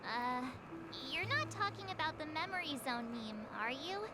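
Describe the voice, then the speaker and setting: A cheerful young girl adventurer from a video game. Her voice sits extremely high and chatters in a bubbly, friendly way. Loud effects noise runs underneath. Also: very animated, normal speed. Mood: playful. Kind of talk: animated video game voice acting.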